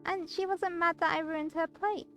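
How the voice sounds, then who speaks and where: A woman's voice: extremely high pitch, steady tone, moderate pace, a bit bright, faint music behind, pitched above the performer's own voice. A woman voicing a timid, insecure young girlfriend in a visual novel, meek, hesitant and apologetic.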